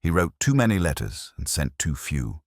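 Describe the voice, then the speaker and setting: A man voicing a quiet, guarded, faintly sad widow in an audiobook, restrained and composed. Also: very deep voice, big pitch swings, moderate pace, no echo, studio quality, heavily acted. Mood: guarded, sombre. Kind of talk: audiobook character dialogue.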